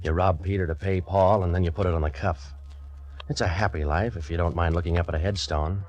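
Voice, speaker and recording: Hard-boiled waterfront gumshoe played by a male actor, deep, world-weary, cynical old-radio narration. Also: fairly even intonation, fairly quick, a little mellow, natural voice, poor audio. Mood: wry, cynical. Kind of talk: 1940s radio detective narration.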